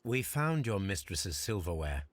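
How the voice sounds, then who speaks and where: An actor playing a brilliant, self-assured Victorian detective in a video game, with precise, formal English speech. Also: male voice, deep voice, very animated, measured pace, no room sound, full character voice. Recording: clear background noise.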